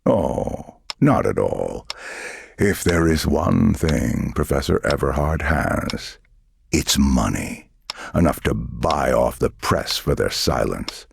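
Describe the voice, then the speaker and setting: An actor playing a menacing, condescending male government interrogator in a dramatic video game, speaking deliberately. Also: very animated, full character voice. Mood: smug. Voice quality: deep, gravelly.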